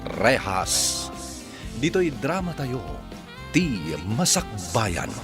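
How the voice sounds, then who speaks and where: A man's voice: medium pitch, sing-song, extremely slow. A man with an Ilocano accent announcing a radio serial in a dramatic, scripted style. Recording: music behind the announcer.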